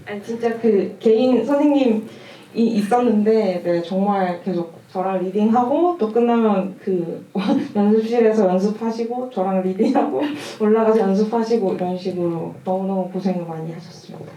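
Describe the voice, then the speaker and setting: A woman's voice: mid-range voice, normal speed, hardly any pauses, mellow, a little hiss or hum. A woman film director answering audience questions at a screening, speaking reflectively and thinking aloud as she goes. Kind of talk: audience Q&A talk. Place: cinema hall at a festival Q&A. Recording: roomy, distant, muffled camera audio.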